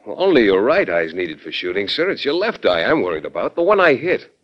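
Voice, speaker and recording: An actor playing an earnest, stubborn young army lieutenant in an old radio drama, respectful and conscientious. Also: male voice, lowish voice, huge pitch swings, fairly quick. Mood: earnest, insistent. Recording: dull, band-limited vintage radio recording.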